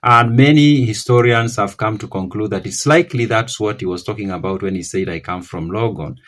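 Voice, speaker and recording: A man's voice: lowish voice, fairly quick, a bit bright. A man hosting a history channel, talking it through off the cuff, often stopping to correct himself. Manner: rambling, self-correcting, thinking aloud. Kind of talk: unscripted history talk to camera.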